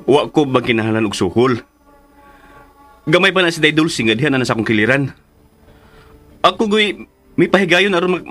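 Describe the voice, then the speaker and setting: A man's voice: fairly high pitch, fairly bright tone. An actor playing a humble, loyal and anxious male servant in a radio drama, stammering and deferential.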